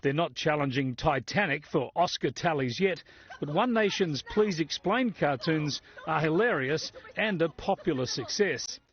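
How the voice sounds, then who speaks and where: A man's voice: a little high, expressive, normal speed, muffled recording, over music. An Australian man narrating a scripted television feature as a reporter.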